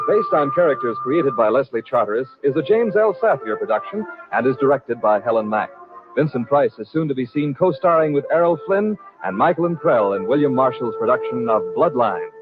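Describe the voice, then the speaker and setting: A man with an American accent announcing in formal 1950s radio style. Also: medium pitch. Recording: tinny narrow old radio broadcast. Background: loud music.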